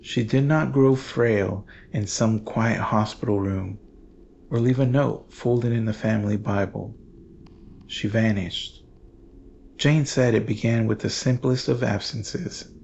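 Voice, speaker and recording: Male horror narrator, solemn and portentous, very slow pace, creaky voice, dramatic pauses, slightly muffled recording. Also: on the low side, a little mellow, a little hiss or hum. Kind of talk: scripted horror story narration.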